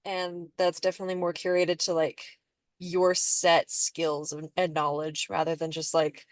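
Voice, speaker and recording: A woman's voice: on the low side, flat delivery, measured pace, no echo. A young woman chatting casually on a podcast, playfully acting out imagined remarks as she explains. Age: young adult.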